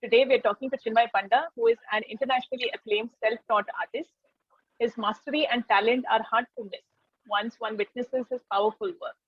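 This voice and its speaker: Female interview host, Indian accent, slightly high and husky voice, muffled lo-fi audio. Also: brisk, no room sound. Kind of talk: conversational interview hosting with scripted introduction.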